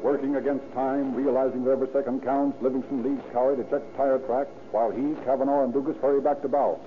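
A man's voice: mid-range voice, fairly even intonation, quick pace. A man narrating a scripted 1930s radio crime drama in a formal, dramatic manner. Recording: tinny old radio recording. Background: music.

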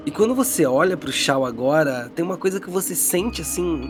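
Brazilian male game master over clear music. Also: a little high, animated, fairly quick. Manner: colloquial, descriptive, checks in with players. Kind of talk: casual tabletop game narration.

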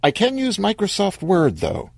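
Man as meek storybook everyman, earnest, hesitant, lively pitch, husky. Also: normal speed, dry recording, clean studio sound, heavily acted. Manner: hesitant, trailing off.

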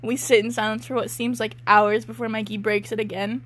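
A woman's voice: a little high, flat delivery, brisk, fairly bright tone. A young woman reading amateur fiction aloud casually as co-host, breaking in with wry, giggly, sweary asides. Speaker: co-host and reader.

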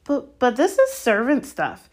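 A woman's voice: on the high side, wildly swooping pitch, unhurried, voice pushed up, heavily acted. A woman voicing a haughty but cowardly schoolboy, protesting in an anxious, entitled way for a story reading. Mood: panicky, indignant. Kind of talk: audiobook character voice.

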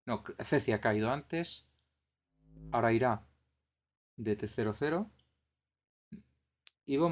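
A man with a Madrid Spanish accent, hosting a casual live stream and commentating on a game in a bemused, questioning way. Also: mid-range voice. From Madrid area, Spain. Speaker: podcast host and streamer.

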